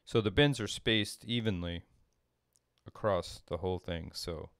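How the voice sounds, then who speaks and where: A middle-aged man giving a casual coding demo as a tutorial presenter, halting and thinking aloud as he works. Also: low voice, fairly bright tone, clean tone, no echo, studio quality. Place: small home room, indoors.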